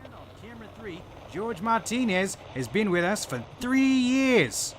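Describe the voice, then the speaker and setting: A man plays a cheesy TV news anchor with a smooth, practiced delivery. His voice is high for a man. Faint noise runs under it. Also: expressive, a little slow, fairly bright tone.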